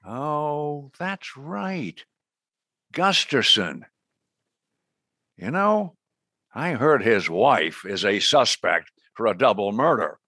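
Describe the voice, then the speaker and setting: Bossy spy chief in a radio play, slow talker, very up and down, dry sound. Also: male voice, mid-range voice, big pitch swings, bright, forceful tone, dry recording, full character voice. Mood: authoritative. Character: authoritative male intelligence boss demanding a report.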